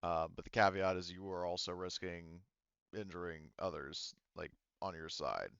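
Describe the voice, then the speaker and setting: A man acting as game master of a tabletop roleplaying game, explaining rules haltingly as he thinks aloud. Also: low-pitched, vocal fry, fairly bright tone, clear, resonant tone, no echo, muffled recording.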